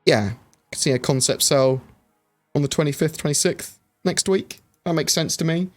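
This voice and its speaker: A man's voice: fairly high pitch, moderate pace, faint music behind. Chatty man answering livestream chat. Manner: chatty, reads viewer questions aloud and answers with many fillers. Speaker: streamer answering chat questions. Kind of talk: casual livestream Q&A.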